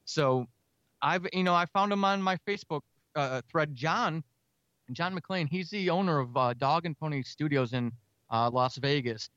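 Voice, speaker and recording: An energetic man with a slightly high voice talks freely in a casual interview, as the guest. The recording is dry and a little dull. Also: moderate pace, no echo. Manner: energetic, talkative, self-described extrovert. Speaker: audio drama writer and podcaster, interview guest.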